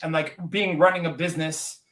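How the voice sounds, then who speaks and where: A man's voice: a little high, steady tone, fairly quick, a bit bright. A man in his forties who owns businesses, talking earnestly in a candid podcast conversation, rambling with frequent restarts. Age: 40s. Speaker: business owner. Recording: roomy echo, distant from mic.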